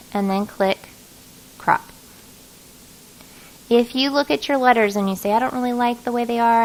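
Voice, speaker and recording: Creaky-voiced woman giving a casual tutorial. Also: medium pitch, rough recording. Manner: casual, folksy, thinking aloud while working. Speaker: craft design software tutorial host. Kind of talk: informal screen-recorded tutorial narration.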